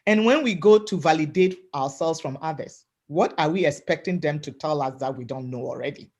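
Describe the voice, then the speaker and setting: Emphatic loud-voiced woman from Africa. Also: low voice, a little fast. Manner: loud voice, emphatic, repeats "right?" and "you know". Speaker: webinar panelist. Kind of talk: motivational talk.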